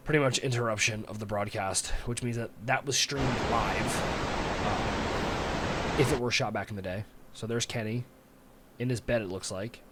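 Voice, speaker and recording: A man's voice: lowish voice, moderate pace, very noisy. A man hosting a reaction video, talking conversationally and thinking aloud as he watches. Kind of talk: casual reaction commentary. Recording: music playing behind the voice.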